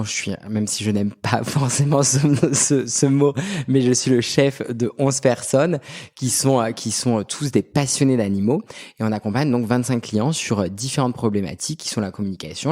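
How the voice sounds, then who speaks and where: A man's voice: mid-range voice, moderate pace, warm tone. A man in his thirties from Brittany, chatting as an interview guest with many fillers and restarts. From Brittany, France. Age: 30s. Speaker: guest, pet specialist and agency head. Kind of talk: casual interview talk.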